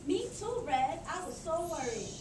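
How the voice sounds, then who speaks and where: Woman as a very high-pitched fretful puppet granny. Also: sing-song, normal speed. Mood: affectionate. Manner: fretful, affectionate. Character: anxious, loving old grandmother puppet. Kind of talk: children's puppet show acting. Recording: distant echoey room with audience noise.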